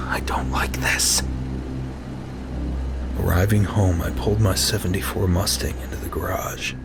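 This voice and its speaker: A man's voice: sits low, very noisy. A man voicing an anxious, obsessive-compulsive office worker turning coldly violent, in a tense, dramatic first-person horror narration. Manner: dramatic, tense, lurching from hushed murmurs to anguished cries. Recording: music behind the voice.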